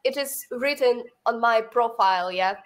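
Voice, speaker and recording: A woman's voice: a little high, normal speed. A woman from Ukraine, an English learner, chatting on a video call with curious questions and lots of "like". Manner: curious, giggly, asks with lots of "like". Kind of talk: casual video-call chat.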